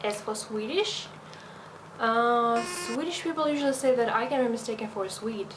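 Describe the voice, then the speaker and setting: Young woman language teacher, not a native english speaker, slight european accent, kinda high voice, explains things step by step. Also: normal speed, noisy background. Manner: explanatory, methodical, with frequent fillers. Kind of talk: teacher self-introduction video.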